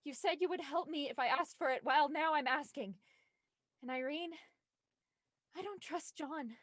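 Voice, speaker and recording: Frightened, high, bright Felicity, acted by a woman. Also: moderate pace, no room sound, studio quality. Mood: frightened, confiding. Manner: hesitant, confiding, tense. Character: Felicity, frightened young woman confiding by voicemail. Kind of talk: audio thriller acting.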